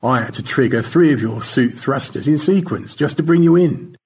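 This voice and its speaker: Audio story role, male reader: polite, over-precise crewman in space, roundabout and earnest, with a creaky, rasping voice. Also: medium pitch, lively intonation, normal speed, darkish tone, pitched above the performer's own voice. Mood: modestly formal. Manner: precise, circumlocutory, hesitantly polite.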